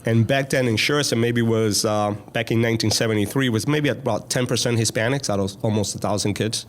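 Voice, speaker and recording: A man in his sixties who grew up in Cuba and learned Spanish first tells his story in an unhurried, earnest way, pausing often on "uh." His voice sits at an ordinary middle pitch for a man. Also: fairly even intonation, slight background noise. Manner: plain, earnest recounting with frequent "uh" pauses. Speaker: school board president, guest. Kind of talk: conversational interview storytelling.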